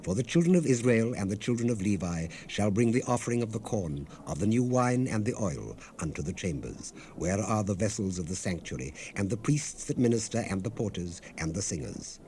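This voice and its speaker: A man narrating scripture in a solemn, measured, deliberate scripted reading, heard on an unprocessed 1970s cassette rip. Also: on the low side, lively intonation, dark tone, a little hiss or hum.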